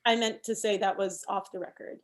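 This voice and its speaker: A woman's voice: mid-range voice, steady tone, brisk, close to an everyday voice. A woman playing a pushy, combative thirty-year-old campaign manager in a comedy table read, arguing emphatically. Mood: brisk scheming. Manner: argumentative, emphatic.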